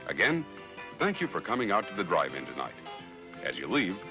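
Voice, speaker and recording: A man's voice: sits low, wildly swooping pitch, brisk. A man giving a polite, formal theater announcement in a vintage drive-in style. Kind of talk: vintage courteous public announcement. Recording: dull vintage film soundtrack with music.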